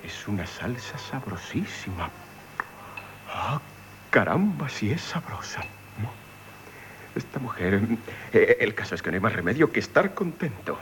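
An actor playing an irascible, woman-hating, gruff gentleman with a Castilian Spanish accent in a theatrical radio play. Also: lowish voice, very animated, unhurried, long pauses. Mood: grudgingly pleased. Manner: blustering, exclamatory, theatrical. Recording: faintly noisy 1970s radio drama recording.

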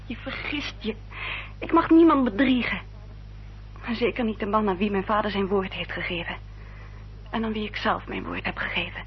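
A woman acting an earnest, dutiful young upper-class lady in a radio drama, speaking formally and with careful, measured diction. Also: medium pitch, natural voice. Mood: pleading, resolute. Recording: dull, noisy old radio recording with hum.

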